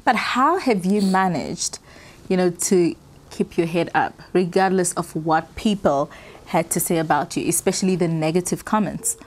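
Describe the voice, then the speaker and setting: A woman's voice: fairly low pitch, moderate pace. A woman with a South African accent, interviewing a guest with brief questions and backchannels.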